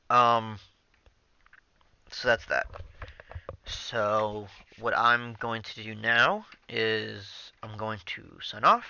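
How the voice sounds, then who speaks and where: A man's voice: mid-range voice, sharp tone, clear, resonant tone, no room sound, muffled recording. A young man casually talking over his gameplay into a headset mic, rambling with many ums and restarts. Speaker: gaming channel host.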